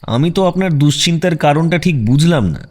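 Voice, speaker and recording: An actor playing a self-assured detective in a radio drama, questioning people in a brisk, direct way. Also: male voice, fairly high pitch, animated, darkish tone. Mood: probing. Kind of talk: radio audio drama acting.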